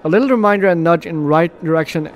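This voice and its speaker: Guy doing a lets play, reads story text out loud, jokey, trips on words, voice a bit high. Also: fast. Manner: jokey asides, stumbles over read words. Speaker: gaming video host. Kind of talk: let's play commentary, reading game narration aloud.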